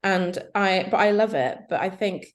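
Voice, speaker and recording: A woman's voice: medium pitch, moderate pace. A young woman guest on a podcast chat, talking candidly and conversationally about her work. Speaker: guest, head of SEO. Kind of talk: casual online chat.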